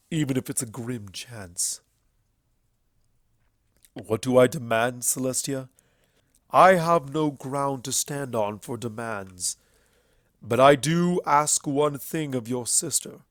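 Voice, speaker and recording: A man voicing a bitter, grieving, proud ancient stallion in a storybook reading, forceful and declamatory. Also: mid-range voice, takes it slowly, dry recording, studio quality, lightly acted. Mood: grim, accusing. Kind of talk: dramatic fan fiction character reading.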